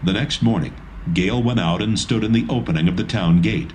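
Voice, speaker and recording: A man narrating a dramatised Bible reading in a steady, measured way. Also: lowish voice, a little hiss or hum. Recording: narration over music.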